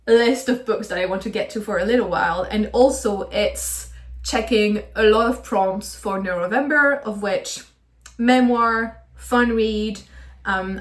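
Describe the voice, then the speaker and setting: A woman talking through her book plans in a friendly, enthusiastic, chatty way, with small laughs now and then. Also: fairly high pitch. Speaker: book vlogger. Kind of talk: casual chatty book video. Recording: echoey room.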